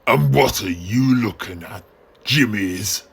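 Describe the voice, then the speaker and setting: Man doing a gravelly, belligerent berserker warrior. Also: lowish voice, lively intonation, slow, full character voice. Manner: taunting, rough dialect.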